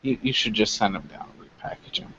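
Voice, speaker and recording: A man's voice: fairly low pitch, fast talker, bright, forceful tone, highs cut off, slight background noise. A man chatting casually as co-host of a review show, giving opinions with a wry, teasing edge. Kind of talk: casual chat, opinionated commentary.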